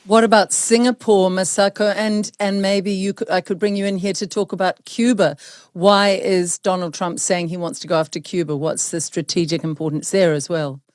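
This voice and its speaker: A woman's voice: lowish voice, steady tone, moderate pace, darkish tone, dry recording, clean studio sound. A woman from New hosting an interview, speaking emphatically and indignantly. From New Zealand. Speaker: host. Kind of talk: opinionated interview talk.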